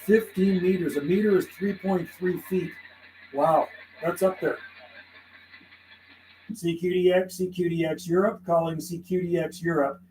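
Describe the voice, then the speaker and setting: A man's voice: high-pitched, steady tone, a little slow, pauses often, darkish tone, slight background noise, rough recording. A man from western Canada chatting live on CB radio, laughing often and rattling off call signs and radio jargon. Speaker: CB radio operator streaming live. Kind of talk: casual on-air radio chat.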